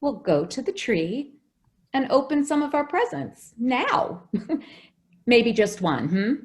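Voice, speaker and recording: A woman playing an affectionate, fretful caretaker of a young child in a radio drama, expressive and coaxing. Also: a little high, slow. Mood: gently coaxing.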